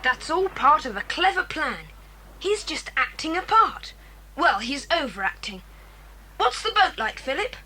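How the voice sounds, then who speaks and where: A woman's voice: big pitch swings, bright, forceful tone, full character voice, rough recording. A woman playing a bold, decisive boy who leads other children in an audiobook drama. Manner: brisk, commanding, earnest. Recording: hissy, rumbling 1980s cassette transfer.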